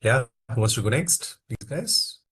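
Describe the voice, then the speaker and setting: A man from India leading an online training class, who keeps saying "okay" between phrases and coaxes quiet participants to speak. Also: mid-range voice, expressive, measured pace, husky. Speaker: instructor.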